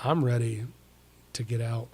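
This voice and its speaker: A man's voice: medium pitch, steady tone, unhurried, warm tone, husky. A middle-aged man, congested from a recent illness, co-hosting a hunting podcast in a rambling, folksy way with plenty of slang and restarts. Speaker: podcast co-host. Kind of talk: casual chat.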